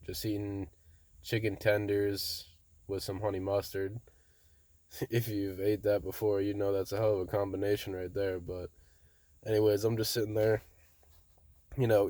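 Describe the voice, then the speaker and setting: A young man casually telling a story to his viewers in a monotone, laid-back way, often saying "bro". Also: low-pitched, no echo. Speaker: vlogger.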